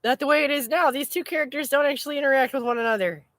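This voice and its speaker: A woman chatting casually as host of a live stream, rambling in an animated, exclaiming way with casual swearing. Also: high-pitched, very fast, no room sound, clean studio sound.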